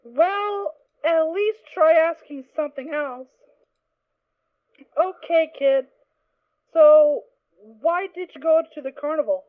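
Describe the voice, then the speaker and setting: A woman's voice: sits high, slow pace, no room sound. A woman playing a wary, tough unicorn mercenary, talking in a guarded, commanding way. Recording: tinny phone-like, dry sound.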